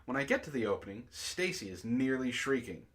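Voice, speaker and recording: A man's voice: mid-range voice, sing-song, a little fast, fairly bright tone. A man hosting a book club, reading prose aloud dramatically and breaking off into exasperated asides.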